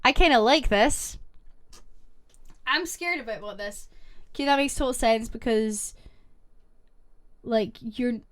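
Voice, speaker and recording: A woman's voice: a little high, a bit bright. A woman streamer reading online stories aloud and reacting to her chat in a casual live-stream. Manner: reads posts aloud and reacts with quick asides to chat.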